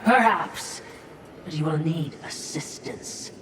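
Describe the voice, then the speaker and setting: A woman's voice: deep voice, animated, takes it slowly, background noise. An actress playing a sly, servile female spirit attendant in a video game, formal and insinuating in manner. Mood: deferential. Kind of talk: video game cutscene acting. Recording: echoing, distant-sounding voice over music.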